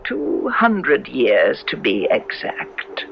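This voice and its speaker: An actress playing a mysterious, lovelorn ghost woman in an old radio horror play, speaking slowly and cryptically with eerie patience. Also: extremely low pitch, wildly swooping pitch, vocal fry, a little hiss or hum, heavily acted. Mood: haunting, wistful. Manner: slow, cryptic, drawn-out delivery. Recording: muffled vintage radio broadcast recording. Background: dramatic background music.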